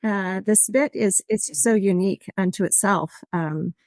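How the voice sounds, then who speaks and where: A woman's voice: medium pitch, unhurried, a little mellow, no echo, clean studio sound. A woman who runs motorcycle tours, speaking as a podcast guest in a rambling way with many "um"s. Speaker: motorcycle tour operator, guest. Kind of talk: casual interview.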